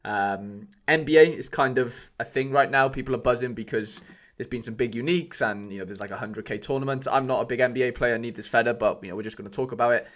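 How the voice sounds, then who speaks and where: A man's voice: medium pitch, quick pace, fairly bright tone. A man hosting a casual podcast, upbeat and teasing his co-host.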